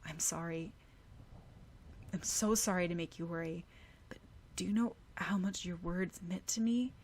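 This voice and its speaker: A woman's voice: on the low side, a little slow, close to an everyday voice. A woman voicing a playful, affectionate and earnest teenage boy in an audiobook story, with noise behind her. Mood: tender, earnest.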